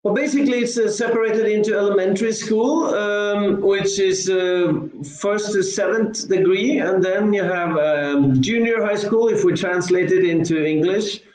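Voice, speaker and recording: A man's voice: high voice, moderate pace, hardly any pauses, darkish tone, roomy sound, poor audio. A man from Norway, a guest on an online interview, explaining at length with many fillers and restarts. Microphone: video call.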